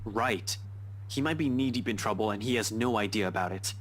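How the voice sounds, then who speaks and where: Game role: resolute police detective, low voice, blunt, firm, protective. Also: male voice, fairly quick, a bit bright. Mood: grave, resolute. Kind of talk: visual novel game voice acting.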